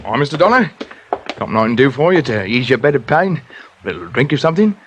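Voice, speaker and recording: A man's voice: medium pitch, big pitch swings, fast talker, fairly bright tone. An actor playing a friendly, obliging fishing crewman, folksy and eager to help, in an old-time radio drama. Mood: chummy. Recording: slightly noisy old radio recording.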